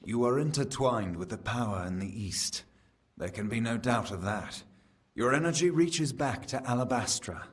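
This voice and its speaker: A man's voice: mid-range voice, expressive, normal speed, natural voice. An actor playing a solemn, ancient fae king in a fantasy game, speaking in a formal, portentous manner. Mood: grave counsel. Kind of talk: scripted fantasy game acting.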